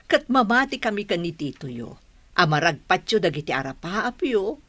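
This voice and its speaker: A woman's voice: lowish voice, sing-song, normal speed, a bit bright, dry recording. A woman playing a kindly, encouraging middle-aged mother and aunt in a radio drama.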